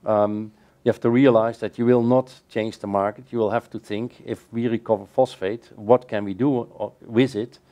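A middle-aged man with a low, mellow voice explains his views in a measured way, often restarting his sentences. He speaks from a table in a bright room by large windows during a panel discussion. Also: steady tone. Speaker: keynote speaker. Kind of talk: answering questions in a panel discussion.

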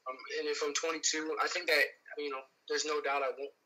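A young man in his early twenties, a boxer, answering interview questions over the phone from inside a car, relaxed and confident with many fillers. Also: fairly high pitch, fairly quick, poor audio. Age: 20s. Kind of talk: casual interview. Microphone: phone held close.

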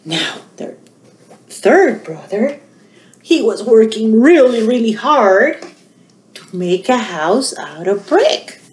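Animated woman storytime presenter, lively pitch. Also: slow pace. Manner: animated, addressing young children with questions. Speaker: children's library storytime presenter. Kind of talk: storytime narration for young children. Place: small indoor room. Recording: roomy home recording.

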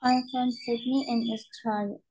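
A woman from Saudi Arabia, an English student reading textbook dialogues aloud slowly and hesitantly on a video call. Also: on the high side, little pitch movement, a little mellow, slightly rough, poor audio.

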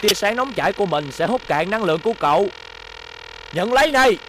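A man's voice: high-pitched, lively intonation, a little fast, background noise. A man voicing a vengeful, scheming boy robot villain in a cartoon dub, smug and gloating. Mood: menacing. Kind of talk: cartoon dubbing.

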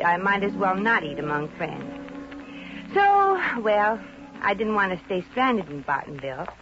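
A woman's voice: mid-range voice, natural voice. An actress playing a plucky, wisecracking, broke showgirl in a 1950s radio comedy, with a brassy, wry, slangy way of talking. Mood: wry storytelling. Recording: dull, noisy 1950 radio recording.

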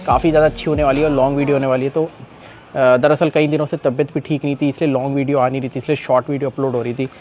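A man's voice: mid-range voice, little pitch movement, very fast, darkish tone, soft background music. A young man talks casually to camera as a vlogger, with a slightly sore, coughy throat. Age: young adult. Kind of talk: casual vlog talk to camera. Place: open rooftop outdoors in a city. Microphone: clip-on lapel mic. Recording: noisy outdoor urban ambience.

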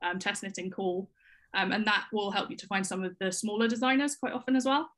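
A young woman guest on a casual video call over a laptop mic, soft-spoken and hesitant with many fillers. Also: mid-range voice, fairly even intonation, a little fast. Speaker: guest test knitter. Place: home room indoors. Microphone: laptop webcam mic.

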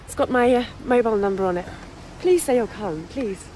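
Actress as eager young British woman, higher voice. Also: fairly quick, a little mellow, a little hiss or hum, lightly acted, poor audio. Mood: eager, pleading. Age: young adult. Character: eager young woman on a documentary film crew. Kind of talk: naturalistic radio drama dialogue.

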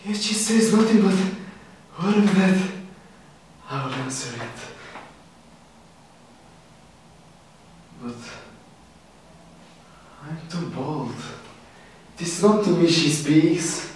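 A man's voice: on the high side, darkish tone, pitched above the performer's own voice. A young man playing a lovestruck, impulsive youth in a theatrical Shakespearean stage monologue. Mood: yearning. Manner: theatrical, slow, declamatory. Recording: very echoey room, distant microphone, hiss.

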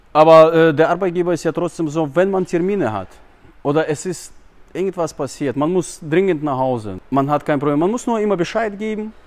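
A man in his thirties, a team leader speaking in an earnest, halting way with uneven emphasis, recorded in a large warehouse. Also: a little high, darkish tone. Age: 30s. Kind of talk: corporate video interview.

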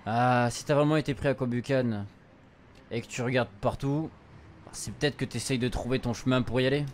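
A man's voice: mid-range voice, steady tone, fairly quick, slight background noise. A young man doing a casual let's play, translating and reading game dialogue aloud in an informal, slangy way. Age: young adult. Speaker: streamer. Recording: music playing behind the voice. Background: video game music.

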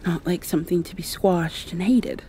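A woman playing an earnest, insecure young vampire girl in an ASMR roleplay, speaking softly and hesitantly, as if confiding. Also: lowish voice, normal speed, a little hiss or hum, natural voice. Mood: earnest, nervous.

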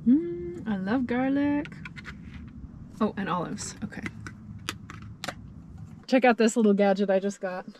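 A woman's voice: a little high, measured pace, long pauses, darkish tone, slight background noise. Chatty woman cooking in her van. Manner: chatty and cheerful, thinking aloud while cooking. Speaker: van camping vlogger. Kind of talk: casual cooking vlog. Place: inside a parked minivan camper. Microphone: camera mounted in the van.

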